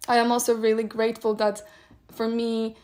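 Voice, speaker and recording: A young woman whose first language is Polish, hosting a solo podcast and reflecting aloud in a thoughtful, meandering way with soft laughs. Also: on the high side, completely flat delivery, normal speed. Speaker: podcast host.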